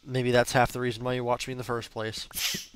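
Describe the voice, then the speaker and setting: A man's voice: mid-range voice, little pitch movement, brisk, clear, resonant tone. Congested young man chatting over gameplay. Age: young adult. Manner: chatty, cursing, rambling with fillers. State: congested, stuffy nose. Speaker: gaming channel host. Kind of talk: casual gameplay commentary.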